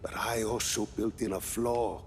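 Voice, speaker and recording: Actor as a measured older scientist. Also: male voice, lowish voice, flat delivery, a little hiss or hum, close to an everyday voice. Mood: confident plotting. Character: older male scientist, thoughtful, proud, weary ex-prisoner plotter. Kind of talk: cinematic video game acting. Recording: music behind.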